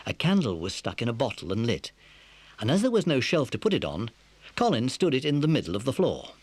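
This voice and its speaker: A man narrating a scripted children's story as an expressive storyteller. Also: medium pitch, a little fast, slightly rough, no room sound.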